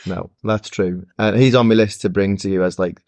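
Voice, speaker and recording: A man with a fairly low voice trades teasing, mock-grudging banter in a relaxed chat about football. His voice sits close and dry, with no room sound around it. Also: moderate pace, no room sound. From Scotland. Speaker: podcast co-host.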